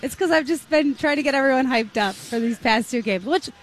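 A woman with a slightly raspy voice hosting a courtside postgame basketball recap in an upbeat, conversational way. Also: high voice, fairly quick. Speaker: courtside sports reporter and host. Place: courtside at an arena.

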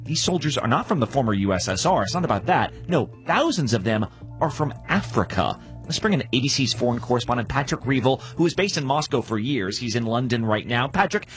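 A man's voice: medium pitch, very animated, brisk, soft background music. A man with an American accent hosting a news programme in a conversational narrating style. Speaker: news podcast host.

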